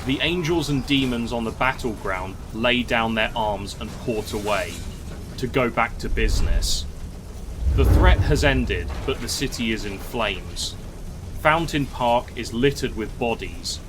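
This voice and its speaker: Slow, measured male story narrator. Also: medium pitch, lively intonation, noisy background.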